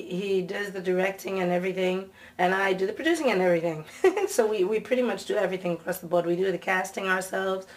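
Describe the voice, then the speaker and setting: A woman from Nigeria, a producer, talking casually in a behind-the-scenes interview. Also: medium pitch. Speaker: TV series producer.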